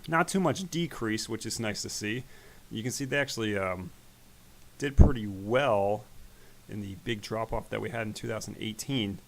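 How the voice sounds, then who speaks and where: A man hosting an investing channel, talking casually through his stock portfolio in a rambling way, his voice growing raspy. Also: lowish voice, normal speed, rough recording. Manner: relaxed, rambling, with fillers. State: voice getting raspy. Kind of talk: casual screen-share stock commentary.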